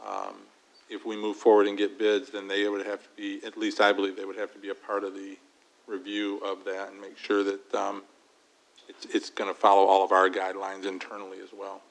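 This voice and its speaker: Township treasurer, man, low and level voice, plain explanatory delivery. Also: monotone, brisk, rough recording. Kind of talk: explaining a proposal at a meeting. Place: township board meeting room.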